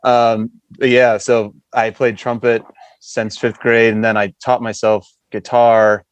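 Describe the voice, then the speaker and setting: A man's voice: medium pitch, level tone, a little slow, clear, resonant tone, no room sound, poor audio. An American man from western Massachusetts chatting casually as a podcast guest. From western Massachusetts, USA. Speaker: podcast guest, punk band musician. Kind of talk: casual chat.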